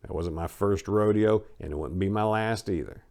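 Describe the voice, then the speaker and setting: A man's voice: fairly low pitch, normal speed, vocal fry, darkish tone. A man from the United States giving a dramatic first-person reading of a memoir in an irreverent, gritty storytelling manner. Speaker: audiobook narrator.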